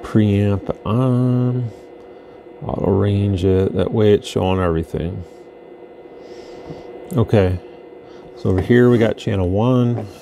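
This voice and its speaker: Man, very low voice, creaky fry, casual hands-on demo narration, faint background noise. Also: slow pace, pauses often, warm tone. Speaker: radio product demonstrator. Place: indoor electronics workbench.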